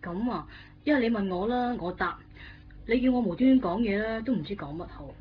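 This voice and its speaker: A woman's voice: fairly high pitch, expressive, a little fast, soft background music, close to an everyday voice. Muffled woman acting a playful young girlfriend. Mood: playful, casual. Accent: Hong Kong Cantonese. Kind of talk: naturalistic Cantonese radio drama acting. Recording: muffled radio drama, faint background noise.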